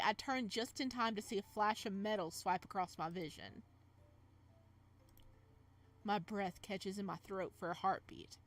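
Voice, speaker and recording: A woman's voice: mid-range voice, lightly acted. A woman reading as the flustered young male protagonist of a romance visual novel, in dramatic first-person narration.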